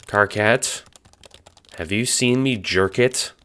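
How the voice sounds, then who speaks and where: A man acting in an audio drama as a casual, wry young hero and chatty narrator, with an expressive delivery. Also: fairly low pitch, slow pace, clear, resonant tone. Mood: incredulous, wry.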